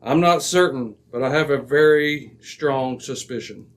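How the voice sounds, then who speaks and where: An actor as a brilliant, impatient male detective in a radio mystery. His voice is mid-pitched and authoritative. He lays out his reasoning crisply, like a man used to being obeyed. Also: measured pace, close to an everyday voice. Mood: assured, deductive. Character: commanding, razor-sharp, impatient male detective. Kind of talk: old-time radio drama acting.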